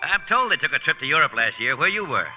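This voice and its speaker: Gossipy helpful bartender, 40s radio play, very bright voice, lo-fi old recording. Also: male voice, fairly high pitch, big pitch swings, fast talker, exaggerated voice. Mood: obliging. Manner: talkative, gossipy. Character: chatty, obliging male bartender passing on gossip. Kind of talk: 1940s radio acting. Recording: tinny old 1940s radio drama recording, faint music.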